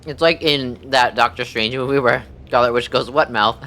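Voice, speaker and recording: A man doing casual, profane, jokey livestream commentary while playing a game, thinking out loud. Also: medium pitch, moderate pace.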